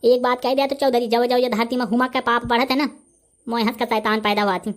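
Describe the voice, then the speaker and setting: A man's voice: extremely high pitch, little pitch movement, fast, a bit bright. A man with a Bundelkhandi accent voicing a short-tempered, boastful village bully who rants threats in a comic cartoon. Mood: angry, threatening.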